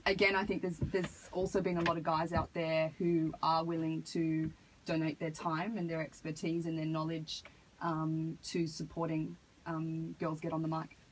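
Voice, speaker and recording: A woman answering as a radio interview guest, earnest and measured, picking her phrasing hesitantly as she explains. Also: low voice, little pitch movement, rough recording. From Australia. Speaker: hip hop artist, interview guest. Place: radio studio. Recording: noticeable background noise.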